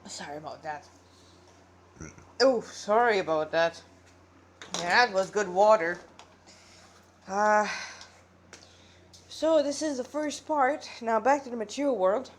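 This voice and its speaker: A woman's voice: medium pitch, slow pace, pauses often, a little hiss or hum. A tired-sounding woman reads a story aloud as an amateur narrator, dramatic in delivery and informal in her asides, recorded on a tablet. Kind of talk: narrated story reading with character dialogue.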